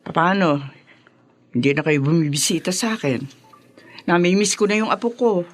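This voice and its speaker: A woman's voice: lowish voice, expressive, normal speed, a little hiss or hum, natural voice. An actress playing a proud, talkative, bossy older mother and mother-in-law in a radio drama. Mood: bossy, chatty. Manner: chatty, bossy, exclamatory. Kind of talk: Tagalog radio drama acting.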